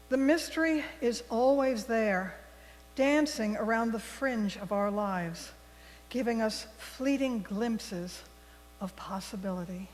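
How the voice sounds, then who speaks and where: Slow-speaking woman leading worship in a hall. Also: a little high. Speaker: worship leader. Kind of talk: liturgical reading and announcements to a congregation. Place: large church sanctuary. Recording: long hall reverb, faint mains hum.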